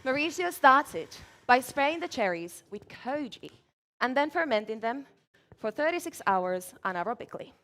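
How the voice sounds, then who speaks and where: A woman speaks in a clear, measured way as she presents her drinks to judges. Her voice sits a little high for a woman. She talks through each step while she works. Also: very animated, fairly bright tone. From Finland. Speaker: barista competitor. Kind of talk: competition presentation. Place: large event hall, live competition.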